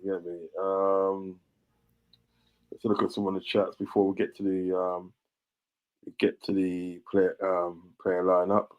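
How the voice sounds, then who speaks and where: A man's voice: low voice, monotone, unhurried, a little mellow, poor audio. A man from Trinidad with a Trinidadian accent, co-hosting a football livestream and reading out the chat in a laid-back, slangy way. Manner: laid-back, slangy, checks in with "you get me". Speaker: co-host reading the live chat.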